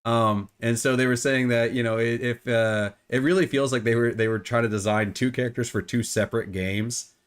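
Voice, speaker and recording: A man's voice: mid-range voice, steady tone, normal speed, clean tone. A middle-aged man with a cold and a phlegmy voice, co-hosting a casual gaming podcast and often repeating words as he restarts. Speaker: podcast co-host.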